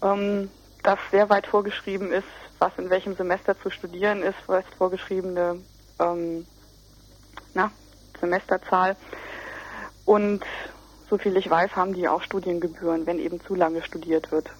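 A woman's voice: lowish voice, level tone, moderate pace, long pauses. A young woman explaining things as an interviewed student representative, hesitant and searching for words. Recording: muffled lo-fi radio recording.

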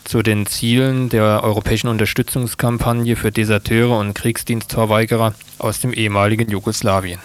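A man's voice: on the low side, little pitch movement, fairly quick, hardly any pauses. A man working as a radio interviewer and reporter.

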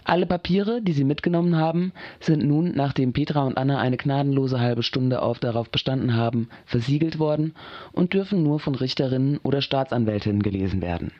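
A man's voice: medium pitch, brisk, no room sound, close to an everyday voice. A man narrating a scripted radio play in a matter-of-fact way. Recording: dull lo-fi but dry recording.

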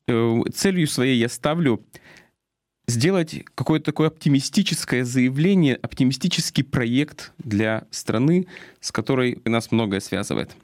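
A man in his forties giving political commentary as a radio host, speaking haltingly with pauses. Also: medium pitch, a little mellow, no room sound, studio quality. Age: 40s. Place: radio studio.

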